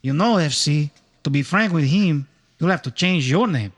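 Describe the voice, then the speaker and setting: A man playing a high-voiced cheeky henchman. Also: expressive, moderate pace, no room sound. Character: cheeky henchman Freddy, crime boss's sidekick. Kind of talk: noir radio-play acting.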